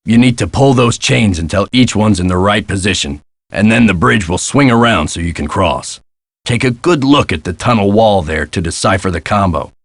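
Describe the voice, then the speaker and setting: A man playing a gruff, world-weary middle-aged American caretaker in a video game, guarded and folksy in a clipped way. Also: lowish voice, lively intonation, moderate pace, dry recording. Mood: helpful, gruff. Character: gruff world-weary male castle caretaker, guarded about his past.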